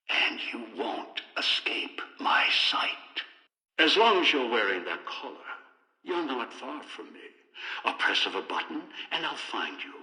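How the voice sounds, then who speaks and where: Calm, threatening old villain for a game, voice ringing in a roomy space with a long tail. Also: male voice, medium pitch, full character voice. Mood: cold menace. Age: older. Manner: cold, measured, menacing. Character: old male scheming manipulative elder villain. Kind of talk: video game voice acting.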